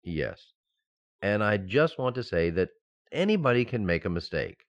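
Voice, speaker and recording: An actor playing a nervous, earnest young married office worker in a radio sitcom, with a sheepish manner and slightly muffled sound. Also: male voice, fairly low pitch, sing-song, moderate pace, no room sound.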